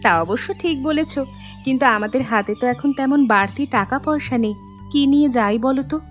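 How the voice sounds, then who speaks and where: High-pitched, caring young wife for a family cartoon, acted by a woman; deliberate storybook delivery, music underneath. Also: lightly acted. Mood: earnest, warm. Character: dutiful young married daughters, cheerful and caring. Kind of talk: Bengali animated family story dialogue.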